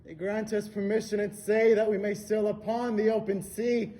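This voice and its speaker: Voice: mid-range voice, flat delivery, moderate pace, mellow, a little hiss or hum, close to an everyday voice. An actor playing a grave, resolute seer among ancient sailors, declaiming solemnly in a stage play. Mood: grave, prophetic. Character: Idmon, grave prophetic Argonaut seer, resolute. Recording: school stage play, music faintly behind.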